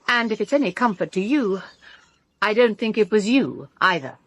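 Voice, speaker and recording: An actress playing a brittle, sharp-tongued woman suspect in a radio drama, with a British accent and an emphatic, cutting delivery. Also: medium pitch, animated, moderate pace, no room sound. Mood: urgent, speculating. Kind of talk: full-cast radio drama dialogue.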